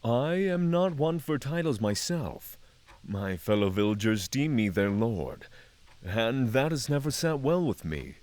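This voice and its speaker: A man playing a solemn, courteous dragon lord in a fantasy audio drama, speaking in formal, measured phrases. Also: medium pitch, very animated, darkish tone. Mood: reflective. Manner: formal, measured, thoughtful diction.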